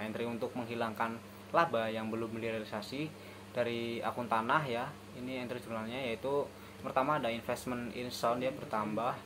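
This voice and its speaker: A young man presents lesson material as a student. His voice is medium in pitch and his delivery is hesitant, with frequent stumbles. The recording has clear background noise. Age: young adult. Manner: hesitant, often stumbling over words.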